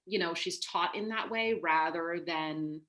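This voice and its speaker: Woman author from the us chatting reflectively on a video call, voice a bit low. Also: a little slow, fairly bright tone. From United States. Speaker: author, panel guest. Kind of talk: reflective panel conversation. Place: home room on a video call. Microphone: video call microphone.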